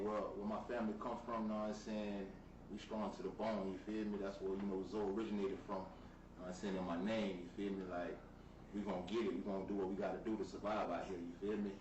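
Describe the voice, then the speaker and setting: A man's voice: lowish voice, little pitch movement, fast talker. A young man from South Florida, a rapper guest in a casual interview, talking in laid-back street slang and often saying "you feel me.". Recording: roomy, distant mic with noise.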